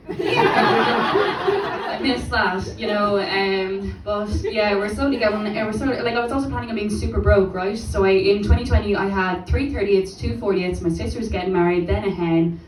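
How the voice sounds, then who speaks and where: A woman's voice: mid-range voice, normal speed. Young woman from Dublin, stand-up comic, self-mocking, chuckles often, echoing room, distant mic, audience noise. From Dublin, Ireland. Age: young adult. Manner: chatty, self-deprecating, laughs at her own jokes. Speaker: stand-up comedian. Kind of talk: live stand-up set. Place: comedy club stage.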